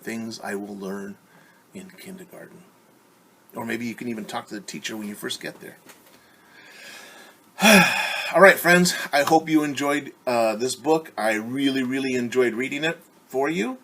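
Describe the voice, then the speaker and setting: A man's voice: medium pitch, unhurried, long pauses, bright, forceful tone, breathy edge. A man teaching young children at story time, reading aloud and explaining in simple, encouraging words with questions to his listeners.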